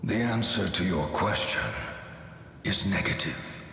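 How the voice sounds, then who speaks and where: An actor playing the impassive ghostly specter of a spirit lord in a video game, formal and detached, with a heavy reverb effect. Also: male voice, deep voice, measured pace, vocal fry, sharp tone, slight background noise, full character voice. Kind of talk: dramatic video game voice acting. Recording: heavy echo and long reverb, music behind.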